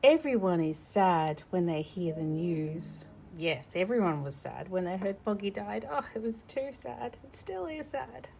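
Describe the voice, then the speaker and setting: A woman reading a children's storybook aloud in an informal, gentle, conversational way, adding personal asides for a child. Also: medium pitch, animated, unhurried, vocal fry, hardly any pauses, a little hiss or hum. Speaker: storybook reader.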